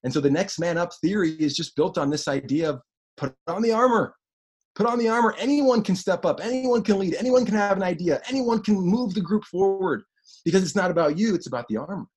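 A man with a deep voice preaching a sermon as pastor, animated and repeating phrases for emphasis. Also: brisk, husky.

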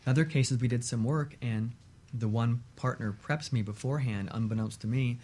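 A man speaking conversationally as a therapist guest on a talk show, with measured, reflective phrasing. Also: medium pitch, darkish tone. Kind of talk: conversational discussion of relationships.

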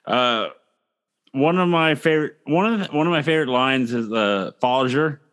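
Mid-pitched co-host, man, halting with lots of "uh", quotes movie lines. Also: fairly bright tone, clean tone. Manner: hesitant, many fillers, quotes lines. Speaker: podcast co-host. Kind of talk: casual movie chat.

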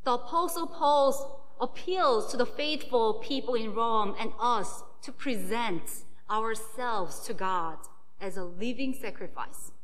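A woman whose first language is Korean, preaching a sermon as a pastor in a slow, measured way. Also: a little high, fairly bright tone, big-room sound, slight background noise, low-quality recording.

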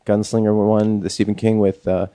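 Man, casual movie chat, rambling with ums, low warm voice, dry close sound. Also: flat delivery, moderate pace, dry recording. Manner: rambling, searches for names with many ums.

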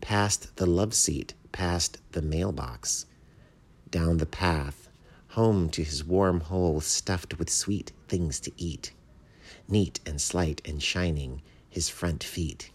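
A man voicing a gentle, earnest, modest bat who is a poet in a children's storybook. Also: deep voice, slow, breathy edge, full character voice. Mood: reciting poem. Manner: earnest, thoughtful, mild. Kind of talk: children's storybook read aloud.

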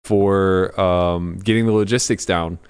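A man with a somewhat low-pitched voice hosts a casual podcast. He talks informally and bluntly, teasing his co-hosts and swearing freely as he banters about poker. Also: fairly even intonation, a little slow, clean tone. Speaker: podcast host.

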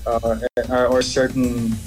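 A man's voice: medium pitch, completely flat delivery, measured pace, low-quality recording. Hesitant man, DJ guest, music behind. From Dubai. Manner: hesitant, many fillers and restarts. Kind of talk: casual interview chat.

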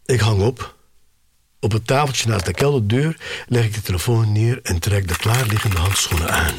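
An actor playing a sly, obsessive collector who narrates his own tale in an unhurried, savouring way for an audio drama. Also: lowish voice, close to an everyday voice. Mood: smug, cool. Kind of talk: scripted first-person audio drama narration. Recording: music bed under voice.

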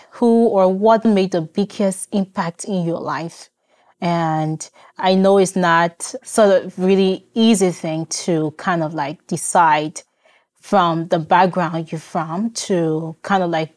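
A woman hosting a podcast interview, asking polite, gently formal questions. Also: lowish voice. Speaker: podcast host.

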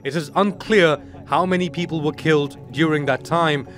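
A man's voice: a little high, steady tone, normal speed, a bit bright, soft background music. A man reading a formal broadcast news report.

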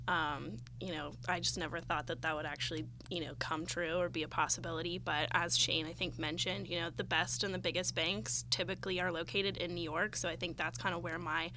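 Creaky, bright young woman. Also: lowish voice, little pitch movement, fairly quick, hardly any pauses. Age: young adult. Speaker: student guest. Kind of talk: casual interview answers. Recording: clearly audible background noise.

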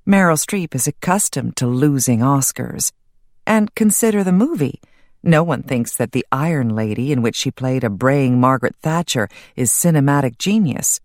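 A woman reading a nonfiction audiobook as narrator, in a measured, articulate and wry way. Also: extremely low pitch, lively intonation, a little mellow, no room sound, studio quality.

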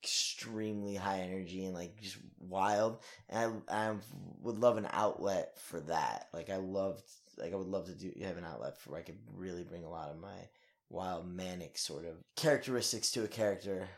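A young actor in a casual interview. His voice sits a bit low. He rambles with energy, full of fillers and the odd curse. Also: normal speed.

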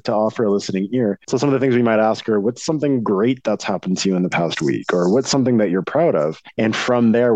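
A man's voice: on the low side, a little mellow, no echo, rough recording. A young man who leads a student project, explaining it eagerly and articulately in a radio interview.

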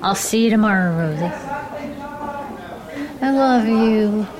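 A woman's voice: medium pitch, slow, crowd noise, lightly acted. An actress playing a forgetful, affectionate old mother in a care home, asking fond, wandering questions in an audio drama. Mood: affectionate, wistful. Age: older.

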